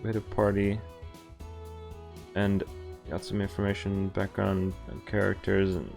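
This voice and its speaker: Low-voiced man streaming over game music. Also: completely flat delivery, unhurried, vocal fry, a little mellow. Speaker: streamer reading a visual novel aloud. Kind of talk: live game stream, reading text aloud with casual reactions. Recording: game music playing behind. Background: video game music.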